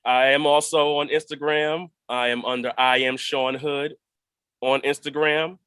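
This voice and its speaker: Clear, bright-voiced man, dry sound. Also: a little high, little pitch movement, unhurried, dry recording. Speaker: actor, interview guest.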